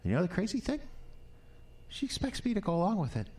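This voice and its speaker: An actor playing an unstable, bitter drunk ex-husband who rambles crudely and menacingly in an audio drama. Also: male voice, sits high, wildly swooping pitch, fast talker, darkish tone, heavily acted. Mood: scornful, bitter.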